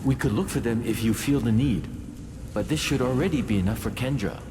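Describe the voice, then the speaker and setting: Man playing a gravelly, echoing fantasy game companion. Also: mid-range voice, a little fast, background noise, natural voice. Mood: dry, musing. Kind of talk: video game voice acting.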